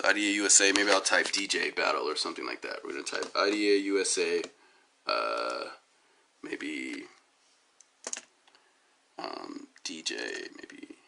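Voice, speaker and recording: A hoarse man with a cold, rambling through a self-recorded phone commentary with lots of fillers and restarts while he clicks through web pages. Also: deep voice, pushed and bright, a little hiss or hum. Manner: tangential, many fillers and restarts. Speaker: DJ giving his side of a dispute. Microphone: phone held up at arm's length.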